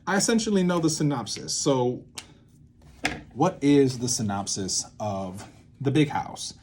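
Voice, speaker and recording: A young man explaining in a casual, halting way, thinking aloud and often repeating and checking himself. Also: medium pitch, lively intonation, a little mellow, a little hiss or hum. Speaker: creator teaching a creative method.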